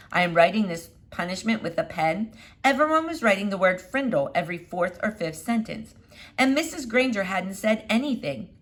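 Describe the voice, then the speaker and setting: Expressive woman reading a children's book aloud. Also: mid-range voice. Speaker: storybook reader.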